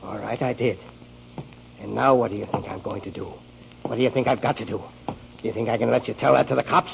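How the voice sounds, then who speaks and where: An actor playing a menacing, cowardly, drunken waterfront killer in a 1940s radio drama. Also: male voice, fairly low pitch, steady tone, a little fast, creaky. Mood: low menace. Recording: muffled, noisy 1940s radio broadcast recording.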